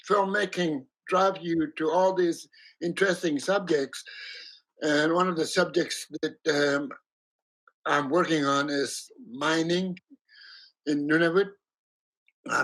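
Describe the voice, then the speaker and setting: A filmmaker interviews a guest, and his questions come slowly, broken up by fillers. His voice sits slightly high. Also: fairly even intonation, fairly bright tone, low-quality recording.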